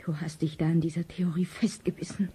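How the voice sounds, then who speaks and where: A woman's voice: on the low side, flat delivery, brisk, warm tone, a little hiss or hum, close to an everyday voice. An actress playing a weary, pained, grieving woman in a radio play.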